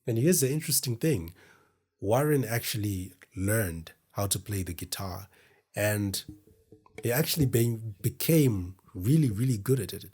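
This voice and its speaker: A man from Zimbabwe with a deep voice, talking to camera in a casual, meandering, self-deprecating way as host of his own show. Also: expressive, measured pace, a little mellow, breathy edge. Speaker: podcast host. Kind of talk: casual solo talk to camera. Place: indoors in front of a camera.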